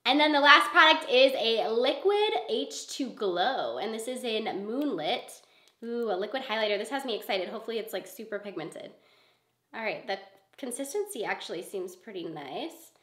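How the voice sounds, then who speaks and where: A woman in her twenties, hoarse and congested from a cold, chatting excitedly as she unboxes beauty products. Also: on the high side, normal speed, roomy sound. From Michigan. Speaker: beauty YouTuber. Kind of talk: casual unboxing vlog.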